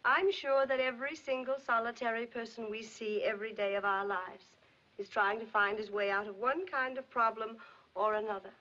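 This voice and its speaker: High-voiced frank, worldly wife, old film. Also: female voice, fairly even intonation, moderate pace, pushed and bright. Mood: calm probing. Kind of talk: 1950s film drama acting. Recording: dull, lo-fi 1950s film soundtrack.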